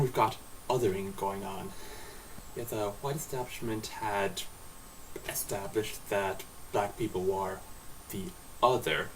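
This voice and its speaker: Tired, slurring Irish man vlogging. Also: mid-range voice. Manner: rambling and conversational, with many restarts and acted-out asides. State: tired late at night, slightly slurred. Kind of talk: casual spoken video essay. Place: indoors at home at night. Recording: distant mic with faint hiss.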